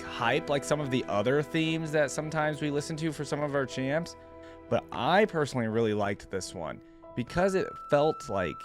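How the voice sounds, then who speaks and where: A man hosting a reaction video, giving his opinions in casual, conversational commentary. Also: medium pitch.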